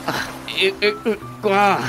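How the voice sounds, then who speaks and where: A man's voice: high voice, huge pitch swings, a little slow, loud music behind the voice, full character voice. A man acting a blustering, evasive husband in a radio drama, stammering and sputtering. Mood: flustered, sputtering. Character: blustering, evasive husband, cowed when confronted.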